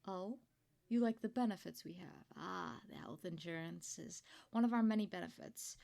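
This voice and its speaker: A woman playing a kindly, proud older company founder in an ASMR roleplay, chatty and doting, with a creaky voice. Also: lowish voice, normal speed. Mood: proud, reflective. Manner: gentle, chatty, doting, with throat-clearing and rambling asides. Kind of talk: ASMR roleplay monologue to the listener.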